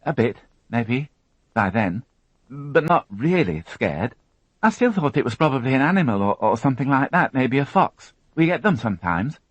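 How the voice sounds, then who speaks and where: A man voicing a frightened young woman who witnessed a crime, tearful and halting, repeating her words as she talks. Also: medium pitch, very animated, dry recording, highs cut off, pitched above the performer's own voice. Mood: shaken.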